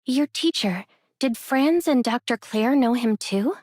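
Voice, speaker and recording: A woman's voice: a little high, expressive, a little slow, a little mellow, no room sound, studio quality. A woman playing a composed, considerate young heroine in an anime game, polite and straightforward. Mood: curious, practical. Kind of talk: scripted anime game dialogue.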